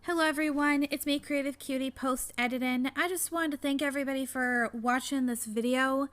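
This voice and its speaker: A woman's voice: high voice, fairly even intonation, brisk, fairly bright tone. A woman video creator casually addressing her viewers.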